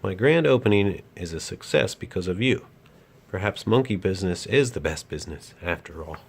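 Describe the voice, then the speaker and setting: A man's voice: low voice, animated, normal speed, creaky, breathy edge, heavily acted. A man voicing a firm woman who owns a toy store in a children's storybook. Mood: approving. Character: stern female toy store owner, later softened.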